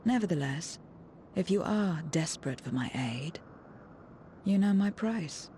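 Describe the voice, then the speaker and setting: A woman's voice: lowish voice, expressive, a little slow, warm tone, a little hiss or hum. A woman playing a cool, guarded mercenary in a fantasy video game, detached, curt and formal. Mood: cool, wary.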